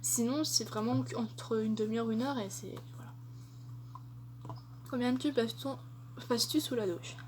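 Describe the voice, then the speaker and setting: A woman's voice: a little high, moderate pace, long pauses, a little mellow, a little hiss or hum. A young woman answering tag questions in a casual vlog, chatty and hesitant with many fillers. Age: young adult. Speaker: vlogger.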